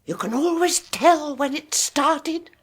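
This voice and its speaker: An actress playing a timid, flustered older spinster sister who answers in a nervous, eager-to-please way in a radio play. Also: female voice, high voice, lively intonation, slow, slight background noise.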